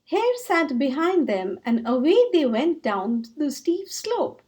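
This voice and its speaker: A woman reading a children's story aloud in expressive storybook narration. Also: sits high, slow pace.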